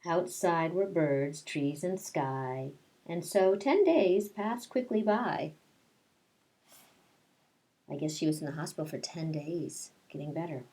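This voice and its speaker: A woman's voice: low-pitched, expressive, slow pace, dark tone. A woman teacher doing story time for kindergarteners, reading aloud and explaining things simply to young children. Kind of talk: picture-book read-aloud with casual asides to children.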